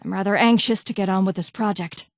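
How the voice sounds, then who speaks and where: Woman acting as brusque female scientist-doctor, game dialogue, condescending, clipped and formal, impatient, mid-pitched, dry recording. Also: fast, dry recording, lightly acted. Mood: brisk. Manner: clipped, haughty, formal phrasing. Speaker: voice actor in a game mod. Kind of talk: scripted game character dialogue.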